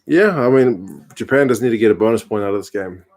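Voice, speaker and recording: A New man in his mid-thirties chats easily on a home live stream, his voice sitting a little low. He answers viewer comments in a laid-back, wry way, with a conversational rhythm. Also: brisk. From New Zealand. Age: 30s. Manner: relaxed, chatty, dry humour. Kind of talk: casual chat reading viewer comments.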